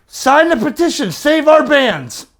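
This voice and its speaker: A man giving an opinionated rant as a video host, emphatic and argumentative, with rhetorical questions and pauses for effect. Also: very high-pitched, big pitch swings, creaky, a bit bright, a little hiss or hum. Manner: exasperated, argumentative. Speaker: amateur radio YouTube host.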